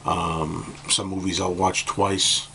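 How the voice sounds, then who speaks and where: A middle-aged man speaks in a low voice that is a little hoarse, as if he has just got up. He rambles casually to the camera, hesitating and circling back over his points. Faint noise sits behind him in a room at home. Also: little pitch movement, takes it slowly, bright, forceful tone. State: slightly hoarse, just woken up. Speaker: music vlogger reviewing albums. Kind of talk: casual opinion talk to camera. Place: indoor room with bookcases at home.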